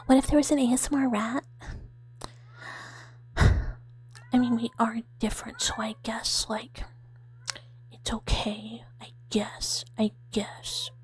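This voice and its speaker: Breathy, whispery woman streamer. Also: fairly high pitch, steady tone, takes it slowly, long pauses. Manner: playful, mock-threatening, rambling. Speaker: VTuber streamer playing a mouse character. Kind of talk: ASMR livestream chat with viewers.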